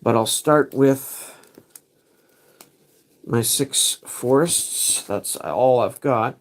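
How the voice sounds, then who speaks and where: Guy hosting a stream, talks real slow, kinda mulling stuff over out loud. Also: mid-range voice. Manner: thinks aloud while choosing cards. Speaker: livestream host. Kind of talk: casual livestream commentary.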